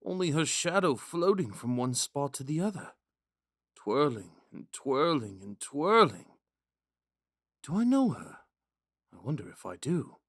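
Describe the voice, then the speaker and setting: A man playing a gentle, smitten young prince in a romantic audio roleplay, musing and hesitant in a courteous way. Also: fairly high pitch, dry recording, studio quality. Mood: curious, enchanted. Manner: musing, hesitant, courteous, with sighs and whispered asides.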